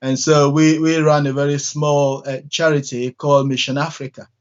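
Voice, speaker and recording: A middle-aged man from Nigeria, a church minister, telling his story in an interview with many pauses and fillers. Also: fairly high pitch, flat delivery, muffled recording.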